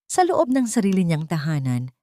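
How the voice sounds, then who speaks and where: A woman narrates a scripted audio drama in a slightly low register. Her delivery is measured and solemn, like serious storytelling. The sound is dry, with very little room in it. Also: huge pitch swings, dark tone, dry recording.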